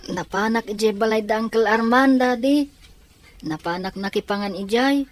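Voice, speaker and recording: Polite Ilocano school kid, voiced by a woman, high-pitched, respectful and earnest. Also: normal speed, no room sound, natural voice. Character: polite, grateful schoolchild living with widowed father. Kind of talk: Ilocano audio drama acting.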